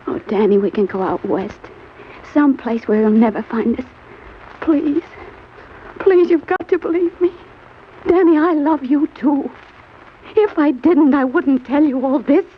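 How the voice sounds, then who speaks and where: A woman's voice: high-pitched, expressive, unhurried, pauses often. An actress playing a scheming, earnest female accomplice who implores and professes love in an old-time radio crime drama. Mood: desperate, pleading. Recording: muffled, noisy old radio recording.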